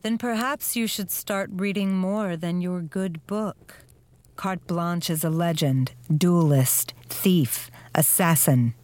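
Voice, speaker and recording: A low, sardonic crime magnate. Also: female voice, slow pace, a little mellow. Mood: cool, skeptical. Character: dry, disdainful female criminal magnate. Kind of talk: audio drama acting.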